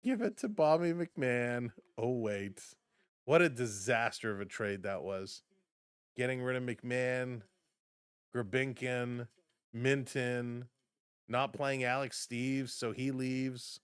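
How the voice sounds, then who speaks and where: A man doing live play-by-play for a fan stream, switching between brisk calls of the action and chatty replies to his viewers, his voice starting to go hoarse. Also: medium pitch, no echo. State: voice getting hoarse. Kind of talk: live play-by-play and chat reactions.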